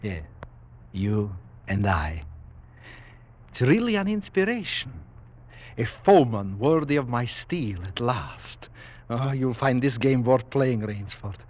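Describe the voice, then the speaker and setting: Suave, sinister aristocratic general, old radio. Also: male voice, medium pitch, big pitch swings, takes it slowly, long pauses. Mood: relishing, courtly. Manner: courtly, menacing politeness with soft chuckles. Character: suave, sinister aristocratic general who hunts men for sport. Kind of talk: 1940s radio acting. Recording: dull vintage 1940s radio recording.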